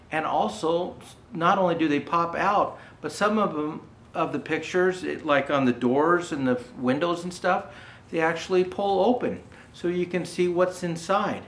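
An older man reading a children's picture book aloud, pausing to explain things gently to young viewers. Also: fairly high pitch. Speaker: storyteller dressed as Santa Claus. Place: indoor room with Christmas decor. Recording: roomy indoor echo.

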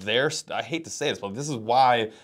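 A man's voice: medium pitch, brisk. A man in his thirties co-hosting a fishing channel, explaining tackle in casual chat with lots of "like" fillers, recorded on a lapel mic in an indoor studio. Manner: relaxed and explanatory, with many "like" fillers. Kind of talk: casual gear-review chat.

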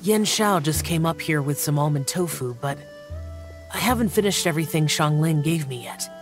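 A voice actor playing a reserved, aloof young guardian. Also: male voice, a little high, lively intonation, normal speed, over music, lightly acted. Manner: quiet, reserved, stiff. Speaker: game character.

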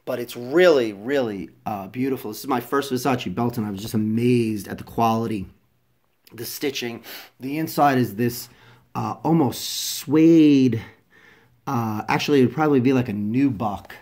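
A man's voice: medium pitch, moderate pace. A man with a congested, stuffy voice from a head cold, casually reviewing a product at home with lots of ums and restarts. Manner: chatty, many ums and restarts, drops softer for close-up details. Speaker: fashion vlogger. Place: indoors at home, filming over a carpeted floor.

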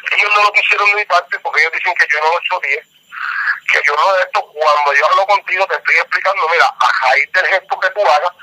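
A man's voice: high voice, sharp tone. A man making an extortion phone call, pressuring and intimidating the listener, over a tinny phone line. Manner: pressuring, intimidating, repeats "me entiendes". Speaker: phone scam caller. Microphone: telephone.

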